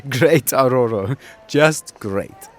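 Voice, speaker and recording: A man's voice: medium pitch, lively intonation, extremely slow. A man playing a cheeky, theatrical young German showman in an audio drama, with a German accent. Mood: pleased. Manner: theatrical, self-important, playful.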